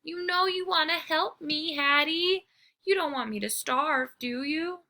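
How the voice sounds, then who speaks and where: Woman doing a high, pleading hungry pet dog. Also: unhurried, heavily acted. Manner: excited, pleading, whiny. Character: eager, food-crazy young pet dog.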